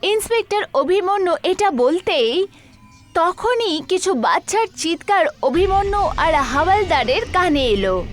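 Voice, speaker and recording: Very high-pitched, animated woman narrator. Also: unhurried, soft background music. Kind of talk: scripted horror story narration.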